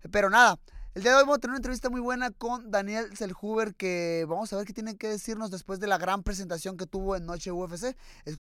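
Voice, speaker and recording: Young Mexican male host, casual and slangy, mid pitch, dry close sound. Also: steady tone, fast, pushed and bright, clean tone, no echo. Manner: chatty, slangy, laughs easily. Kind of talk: casual chat.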